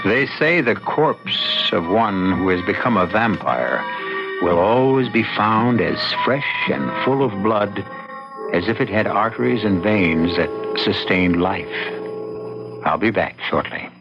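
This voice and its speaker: Man, radio host, low voice, slow ominous scripted narration, dull old recording, loud music. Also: animated. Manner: ominous, deliberate, theatrical pauses.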